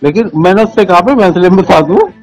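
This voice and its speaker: A man with a western Uttar Pradesh accent and a slightly high voice, pressing his points in an informal argument. He questions and repeats himself insistently. It is a phone call recording with music behind it. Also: animated, a little fast. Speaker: police sub-inspector on a phone call.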